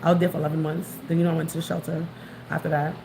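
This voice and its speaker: New york lady rambling on a live stream, kinda low voice, noisy street sound behind her. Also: little pitch movement, racing, gravelly. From New York City, Queens. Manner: rambling, chatty, greets viewers. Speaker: vlogger. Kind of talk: casual livestream chat. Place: outdoors on the street. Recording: noisy background.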